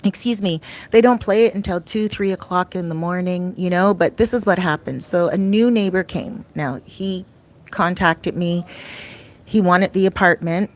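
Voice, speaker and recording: Chatty woman with a slightly raspy voice. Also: lowish voice, normal speed. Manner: chatty, rambling, with many fillers and restarts. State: slightly raspy voice, clears throat often. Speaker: vlogger and life coach. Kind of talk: casual talk to camera. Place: her home in a Dominican neighborhood.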